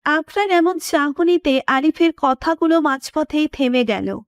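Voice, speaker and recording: Woman, story narrator, high voice, scripted delivery, close dry recording. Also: lively intonation, a little slow, no room sound.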